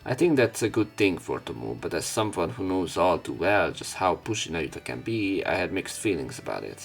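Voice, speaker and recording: A man's voice: deep voice, big pitch swings, normal speed, vocal fry, faint music behind. A man doing let's play commentary, reading visual novel narration aloud with opinionated asides. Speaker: let's player.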